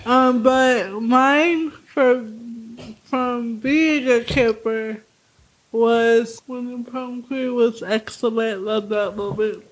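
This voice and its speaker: A young woman, a former camper now working at camp, telling a memory slowly and reflectively. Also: a little high, fairly even intonation, low-quality recording. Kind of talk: casual group chat.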